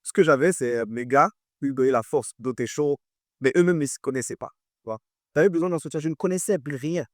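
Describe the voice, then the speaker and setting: A man's voice: a little high, fairly quick, dry recording, clean studio sound. A man in his twenties with a French accent, a guest artist talking casually in an interview and repeating phrases for emphasis.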